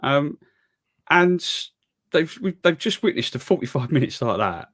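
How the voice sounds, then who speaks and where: A man's voice: fairly high pitch, big pitch swings, a little slow, fairly bright tone. A man co-hosting a football podcast, ranting with exasperation and stammering restarts. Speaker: podcast co-host. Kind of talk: casual football chat.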